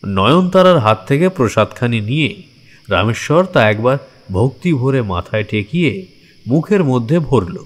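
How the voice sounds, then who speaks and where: A man's voice: medium pitch, very animated, normal speed. A man narrating a horror story from a script in a theatrical, suspenseful manner.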